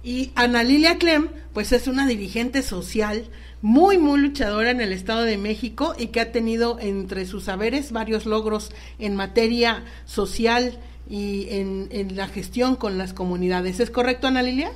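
A woman's voice: a little high, normal speed. A Mexican woman hosting a live talk show, chatty and informal as she presents and interviews guests. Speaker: radio show host.

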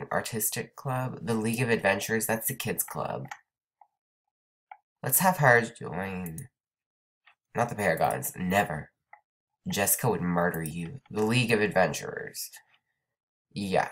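A young woman hosting a let's play, chatting casually over gameplay. She has a cold and sounds stuffed up, and she rambles with lots of "like" and "um". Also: extremely low pitch. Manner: chatty, rambling, says "like" and "um" often.

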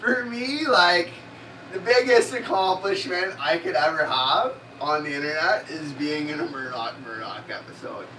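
Young guy cooking in a tiny kitchen, swears a lot, slightly high voice, bit off mic, faint room hum. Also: moderate pace, sharp tone, far from the mic, low-quality recording. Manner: rambling, heavy swearing and fillers. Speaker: cooking stream host. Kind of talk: casual profane chat while cooking. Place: small home kitchen, indoors.

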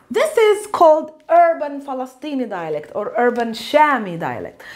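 A high-voiced woman in her thirties, a native Arabic speaker, teaches at a whiteboard. She is animated and emphatic, and she slows down to repeat key words. She is recorded close on a lapel mic in a bright room. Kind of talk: whiteboard language lesson.

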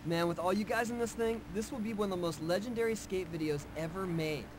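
An upbeat American pro skater from a video game, acted by a man. He talks fast in a slightly high, casual, encouraging voice, with noisy game audio behind him. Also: lightly acted. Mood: rallying. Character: upbeat take-charge male pro skateboarder. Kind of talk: video game cutscene acting.

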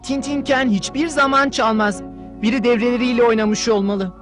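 A woman's voice: fairly high pitch, fairly even intonation, normal speed, natural voice. A woman voicing a plucky, earnest young rich boy hero in a dubbed cartoon. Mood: determined. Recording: music behind the voice.

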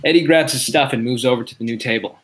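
A man's voice: mid-range voice, fast talker, a bit bright. A man reading out stage directions as scripted narration in a sitcom table read, recorded at home on a video call.

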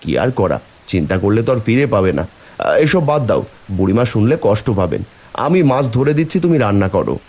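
A man's voice: lowish voice, sing-song, fast talker, darkish tone. A man voicing a good-natured, worried village husband and father in a cartoon fairy tale. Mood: resigned, puzzled.

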